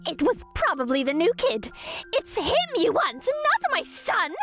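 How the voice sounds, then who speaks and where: A woman voicing an outraged, overprotective mother in a manga dub, shrill and accusing. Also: wildly swooping pitch, unhurried, a bit bright, faint music behind, full character voice. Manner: accusing, exclamatory.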